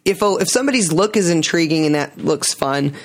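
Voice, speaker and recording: A man co-hosting a casual chat, animated and quick to slip into voice bits. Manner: animated, jumps into high pitch for quick voice bits. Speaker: podcast co-host, drag performer.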